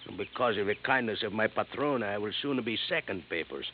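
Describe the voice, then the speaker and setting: A man's voice: on the low side, animated, a little fast, natural voice. Man playing an eager immigrant gardener in broken English. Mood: earnest. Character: immigrant male gardener, eager, earnest, proud of citizenship. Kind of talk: 1950s radio drama acting. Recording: tinny lo-fi old radio broadcast with faint hiss.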